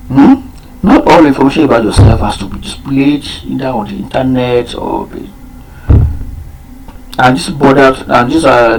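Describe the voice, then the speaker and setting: Slow, halting man, first language Yoruba. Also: mid-range voice, gravelly, a little mellow, a little hiss or hum, rough recording. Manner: halting, many fillers and restarts; repeats key points. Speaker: program presenter. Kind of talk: unscripted spoken talk.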